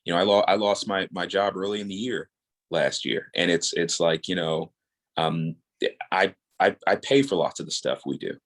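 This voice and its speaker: Very deep-voiced man, earnest interview guest. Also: moderate pace, fairly bright tone. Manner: earnest, rambling, repeats words as he thinks. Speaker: podcast guest, audio drama creator. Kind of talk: casual interview talk.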